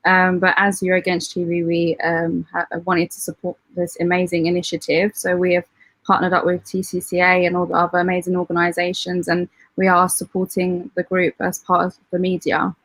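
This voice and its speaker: Woman, flat delivery, lowish voice, measured, dry sound. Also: dry recording, poor audio. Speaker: guest, production director. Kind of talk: casual video call chat.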